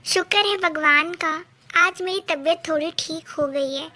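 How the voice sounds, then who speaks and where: A woman plays a little female cartoon bird with an extremely high, bright voice. She is earnest and plaintive, and speaks slowly. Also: heavily acted. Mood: relieved. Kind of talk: children's cartoon dialogue.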